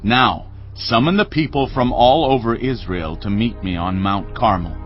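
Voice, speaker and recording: A man's voice: fairly low pitch, unhurried, dull audio, soft background music, lightly acted. A man playing a resolute, commanding prophet in a dramatised audio Bible, speaking in an earnest, declamatory way. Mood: stern challenge.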